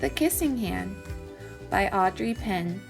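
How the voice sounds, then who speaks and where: A woman teacher reading a picture book aloud to children with measured, deliberate phrasing. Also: mid-range voice, animated, gravelly, music in the background. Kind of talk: scripted storybook narration for children.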